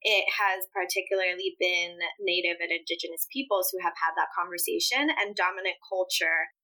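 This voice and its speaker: A woman's voice: lowish voice, brisk, sharp tone, rough recording. A woman from Puerto Rico whose first language is Spanish, a yoga teacher giving earnest, thoughtful answers as an interview guest.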